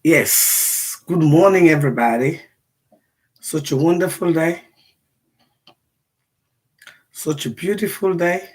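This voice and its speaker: A hoarse woman from Sierra Leone with a Sierra Leonean accent, talking casually on a livestream and repeating phrases for emphasis. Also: low-pitched, a little slow, a little mellow. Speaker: livestream commentator.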